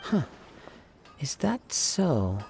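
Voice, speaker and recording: An actor playing a calm, modest young samurai in a video game, speaking in a composed, polite and reflective way. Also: male voice, a little high, extremely slow, creaky, faint music behind, full character voice. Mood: thoughtful, grave. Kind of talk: scripted video game dialogue acting.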